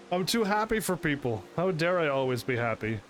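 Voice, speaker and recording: A man streaming a video game, talking casually and pushing his voice up to sound lively and upbeat, laughing often. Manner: energetic, projects his voice higher and louder; chatty, laughs often, restarts sentences. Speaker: gaming streamer. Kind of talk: casual let's-play commentary.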